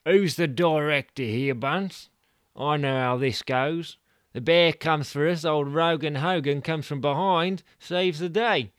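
A man's voice: a little high, unhurried, clean tone, no echo, studio quality, pitched above the performer's own voice, close to an everyday voice. A man voicing a boastful, reckless male TV director who bosses his cameraman about. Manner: boastful, pushy, swears.

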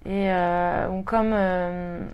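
A woman in her thirties from Brittany, a guest talking casually in an interview, with many pauses and fillers. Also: on the low side, steady tone, smooth, dull audio. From Brittany, France. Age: 30s.

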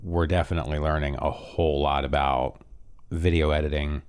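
A man from Canada co-hosts a casual podcast, his voice very deep and smooth with a faintly nasal edge. He talks in an easygoing, rambling way, full of ums and restarts. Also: brisk. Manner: relaxed, rambling with fillers. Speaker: podcast co-host. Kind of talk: casual chat.

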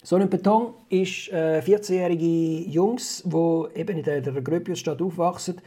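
A man with a Swiss German accent talks in a slightly high register with a very mellow timbre. He chats informally and rambles as he retells and reviews, in the manner of a co-host. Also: a little slow, breathy edge. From Switzerland. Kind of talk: casual chat, film review.

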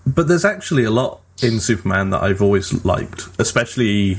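A man's voice: fairly low pitch, animated, a little fast, husky. A man hosting a casual group chat show, talking in an informal, conversational way. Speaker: podcast host. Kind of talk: casual group chat about films and games.

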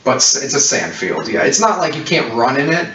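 A man's voice: medium pitch, sing-song, brisk, sharp tone. Man running a tabletop game, distant roomy mic. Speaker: game master. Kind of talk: narrating a tabletop role-playing game. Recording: roomy, muffled distant mic with clicks, hiss.

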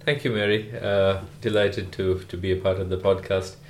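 Man from Sri Lanka, very low voice, podcast guest, unhurried with fillers, roomy echo. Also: little pitch movement, a little hiss or hum. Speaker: guest, rural GP. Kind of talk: podcast interview answers.

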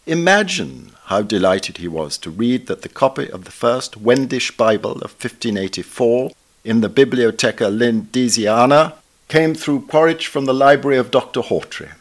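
A man's voice: mid-range voice, animated, normal speed. A man in his seventies with an upper-class English accent, reading a scripted book review in a formal, emphatic, erudite manner.

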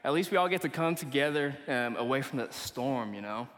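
A man's voice: medium pitch, lively intonation, a little fast, fairly bright tone. A young man from Malaysia preaching casually to a young adults group at church, in an informal, slangy, conversational way. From Kuala Lumpur, Malaysia. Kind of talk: casual sermon to a live congregation. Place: church meeting hall. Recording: long reverberant hall echo.

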